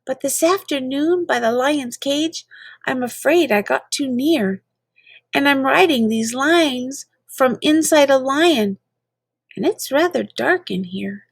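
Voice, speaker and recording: A woman's voice: high-pitched, lively intonation, takes it slowly, vocal fry, dry recording. A woman reading children's poetry aloud in a playful, theatrical manner, drawing out words for emphasis. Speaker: reader of children's poems.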